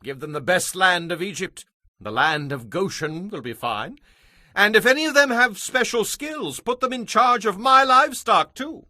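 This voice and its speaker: A man's voice: sits high, very animated, normal speed, sharp tone, dry recording, studio quality, full character voice. A man playing a commanding, generous king of ancient Egypt in a dramatized scripture reading, with a regal, declarative manner. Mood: magnanimous.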